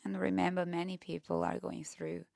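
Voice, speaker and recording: Female meditation guide: lowish, very gravelly fry, gentle and hushed delivery, recorded close and dry. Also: little pitch movement, moderate pace, no room sound.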